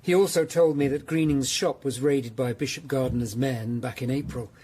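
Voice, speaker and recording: An actor playing a courteous, measured Tudor lawyer-detective, calmly questioning witnesses in a period radio drama. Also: male voice, medium pitch, breathy edge, lightly acted. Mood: businesslike, inquiring. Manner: calm, polite, probing. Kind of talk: period audio drama acting.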